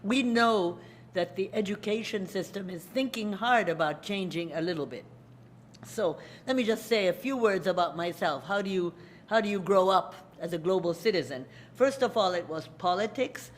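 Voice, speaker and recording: A woman's voice: medium pitch, lively intonation, moderate pace, a little hiss or hum. An older woman, a native Hungarian speaker, giving a conversational public lecture at a podium and speaking to children in the audience. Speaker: guest lecturer.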